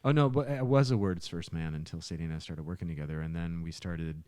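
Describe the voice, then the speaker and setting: Male podcast host, low register, dry close sound, casual and talkative. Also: fast, mellow, no echo.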